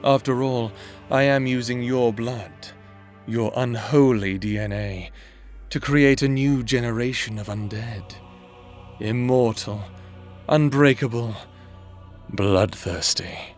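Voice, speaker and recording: An actor playing a gloating, coldly scheming male necromancer in an audio roleplay, with a deliberate, mocking, theatrical delivery. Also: mid-range voice, faint music behind, lightly acted. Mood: cold menace. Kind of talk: dramatic scripted audio roleplay.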